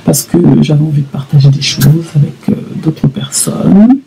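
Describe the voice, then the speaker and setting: A woman in her thirties talking casually to camera in a vlog, halting with many fillers and repeats. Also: deep voice, creaky, dark tone, rough recording. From Congo (DRC). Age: 30s. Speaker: vlogger.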